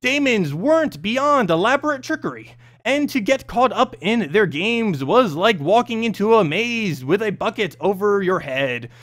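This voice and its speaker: A man's voice: sits high, sing-song, a little slow, few pauses, a bit bright. A man reading a scripted fantasy story as narrator, expressively, with an occasional stumble over the text.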